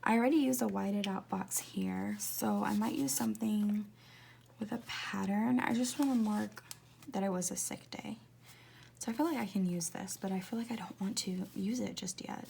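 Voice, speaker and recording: A woman in her twenties chatting casually in a rambling, confiding way, laughing often and slipping into soft whispers as she talks through decorating her planner. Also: medium pitch, moderate pace, vocal fry, a little hiss or hum. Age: 20s. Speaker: planner vlogger.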